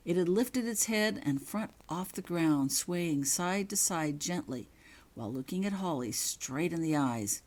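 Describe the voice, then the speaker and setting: A woman reading her own fiction as a scripted, dramatic story narration, in measured, suspenseful short phrases. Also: on the low side, lively intonation, a little mellow.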